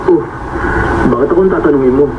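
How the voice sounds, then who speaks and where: A man plays a good-humored doctor in a radio drama, ribbing his friend with an easy laugh. He sounds set back from the mic, thin and phone-like, with loud hiss behind him. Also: a little high, brisk, recorded from a distance, lightly acted. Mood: teasing. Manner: teasing, laughing.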